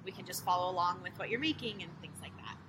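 Relaxed young woman baker, filler-heavy talk. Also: lowish voice, fast. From Costa Mesa, California. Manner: relaxed, many fillers like "you know" and "like". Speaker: small business owner, baker. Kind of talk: casual interview guest. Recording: noisy background.

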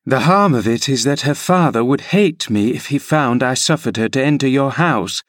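A man's voice: mid-range voice, expressive, moderate pace, fairly bright tone, dry recording, studio quality, voice pushed up, natural voice. A man reading an audiobook, voicing an anxious, scolding middle-aged nursemaid in a raised voice. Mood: protesting.

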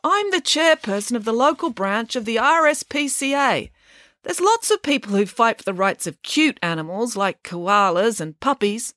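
A woman voices an earnest female campaigner in a children's audiobook. She makes firm, assertive declarations with a raised and animated pitch, recorded dry. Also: normal speed, dry recording. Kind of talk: children's audiobook character acting.

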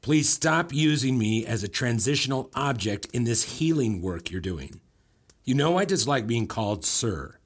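A man's voice: mid-range voice, animated, normal speed, breathy edge. A man playing a manipulative, patronising mentor in an audio drama, speaking articulately and with control as a self-styled father figure. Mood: cold, accusing.

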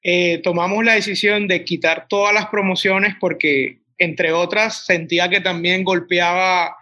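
A man's voice: high voice, flat delivery, unhurried, sharp tone, lo-fi sound. A man in his twenties with a Colombian accent, a restaurant owner answering interview questions in a rambling way, full of restarts. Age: 20s. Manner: rambling, many restarts and "o sea".

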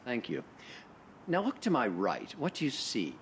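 An actor portrays a courteous middle-aged man on a quest in a radio play. His delivery is formal and earnest, with lively swings of pitch. A faint wash of ambient noise sits behind him. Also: normal speed. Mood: earnest, insistent. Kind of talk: radio drama dialogue.

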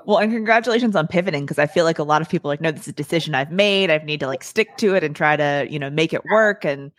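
A woman's voice: low-pitched, fast, dry recording. A woman hosting a casual real estate podcast, friendly and upbeat with many fillers.

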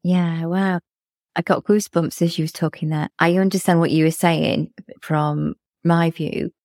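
A woman's voice: fairly low pitch, fairly even intonation, measured pace, darkish tone, no echo, studio quality. A woman hosting a podcast interview, listening closely and responding casually to her guest.

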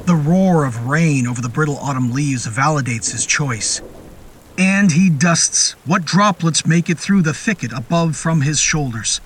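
A man narrating a scripted horror story in a deliberate, literary and descriptive manner. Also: on the high side, expressive, slight background noise. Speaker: narrator of a horror fiction podcast. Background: music and rain sound effects.

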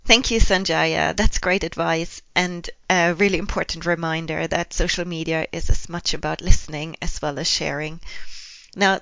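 A woman's voice: lowish voice, fairly bright tone, clean tone, no room sound, dull audio. A woman hosting a podcast, politely reading prepared interview questions. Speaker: podcast host.

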